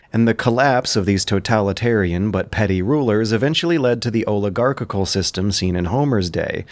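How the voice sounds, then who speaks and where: Male narrator, lower register, scripted commentary delivery, close dry studio recording. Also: no room sound.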